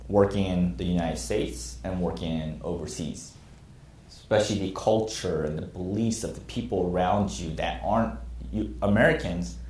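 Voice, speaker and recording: A man's voice: low voice, darkish tone. A man in his thirties teaching a class as a military instructor, earnest and candid about his own past mistakes. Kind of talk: classroom lecture. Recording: echoey room.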